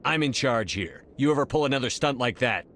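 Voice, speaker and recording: A man playing a steady, authoritative human military commander in a video game, terse and firm. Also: medium pitch, brisk, a little hiss or hum, close to an everyday voice. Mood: stern. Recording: game dialogue over music.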